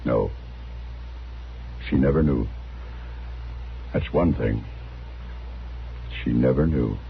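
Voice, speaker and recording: An actor playing a weary, remorseful widower who speaks haltingly and mournfully in a radio drama. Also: male voice, very deep voice, gravelly, exaggerated voice. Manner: halting, mournful, formally apologetic. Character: broken, remorseful widowed husband, weary and resigned. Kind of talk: 1950s radio drama acting. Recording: dull vintage radio recording, faint noise.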